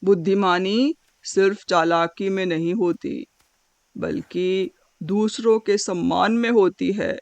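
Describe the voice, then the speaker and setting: A woman voicing a calm, wise, dignified male stork with a polite, measured and gentle manner in an animal fable. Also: medium pitch, gravelly, dry recording.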